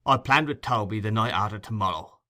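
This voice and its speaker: A man voicing a brutish robber in an audiobook, gruff, surly and threatening. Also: on the low side, brisk, sharp tone.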